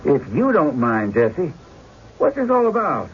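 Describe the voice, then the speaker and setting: An actor playing an anxious, defensive frontier husband in an old-time radio drama, nervous and pleading. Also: male voice, fairly high pitch, wildly swooping pitch, measured pace. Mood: puzzled, defensive. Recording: dull, lightly noisy radio recording.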